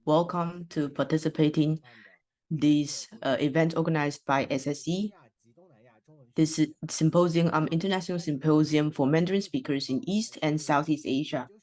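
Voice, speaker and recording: Interpreter for a symposium opening; mid-pitched, hesitant, filler-heavy delivery. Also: moderate pace, darkish tone.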